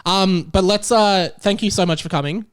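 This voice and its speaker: High-voiced Australian man chatting and joking. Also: moderate pace, clear, resonant tone. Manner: jokey, riffing on absurd details. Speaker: podcast host. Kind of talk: casual comedic chat.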